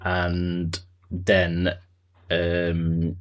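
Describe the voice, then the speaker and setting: A man's voice: sits low, monotone, extremely slow, lo-fi sound. A man streaming a building game, thinking aloud in a loose, rambling way and chatting with viewers. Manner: thinks aloud, trailing off and answering chat. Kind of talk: casual live-stream chat while playing.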